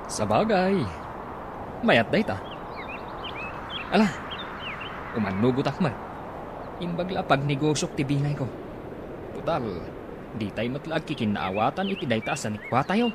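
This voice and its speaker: A man's voice: fairly high pitch, very animated, a little slow, pauses often. A man with an Ilocano accent playing an agreeable brother in a radio comedy drama. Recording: noisy radio drama with outdoor ambience.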